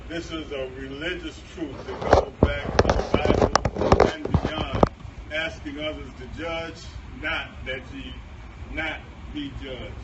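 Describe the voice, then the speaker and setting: Slow, higher-pitched man reading, distant and noisy. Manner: measured, pausing between phrases. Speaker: poetry reader. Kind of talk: solemn poetry and statement reading. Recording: distant dull mic, clear noise.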